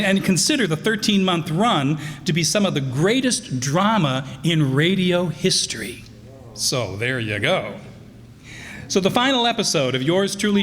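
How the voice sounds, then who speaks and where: A man's voice: on the high side, a bit bright. A man giving an informal, chatty introduction as host of a live radio players' show, partly reading from notes. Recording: echoey hall, live stage.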